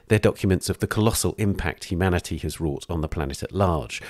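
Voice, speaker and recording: A man with a very deep voice introduces a podcast episode from a script. The sound is dry and close, and his read is smooth and even. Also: no echo. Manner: smooth, read-aloud delivery. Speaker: podcast host.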